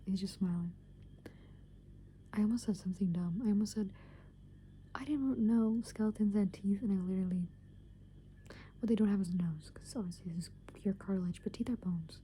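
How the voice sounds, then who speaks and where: Girl in her 20s doing whispered asmr rambling, super hushed, thinking out loud, noticeable noise behind her. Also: medium pitch, a little fast, long pauses. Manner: casual, meandering, thinking aloud. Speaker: ASMR creator. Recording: clearly noisy background hiss and ambience.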